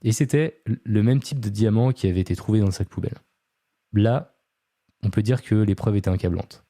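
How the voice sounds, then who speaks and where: A man's voice: fairly low pitch, brisk, mellow, dry recording, clean studio sound. A man hosting a podcast, telling a true-crime story casually, with many fillers and chatty asides to his co-host.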